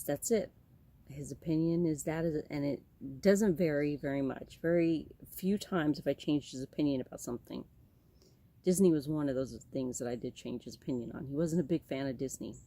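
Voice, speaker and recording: A woman's voice: sits low, mellow. A woman in her late forties from California, chatting casually to her camera about her life, rambling with many ums and restarts. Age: 40s. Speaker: vlogger. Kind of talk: casual personal chat to camera.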